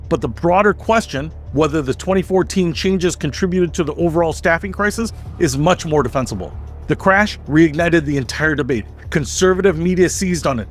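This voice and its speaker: A man reading scripted explanatory narration in a measured, emphatic, structured way, as in a video essay. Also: on the high side, slight background noise. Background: background music.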